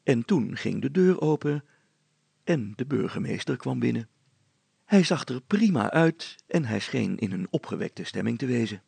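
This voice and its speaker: Male narrator for children's story; mid-pitched, heavily gravelly, dry sound. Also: big pitch swings, moderate pace, darkish tone, no echo.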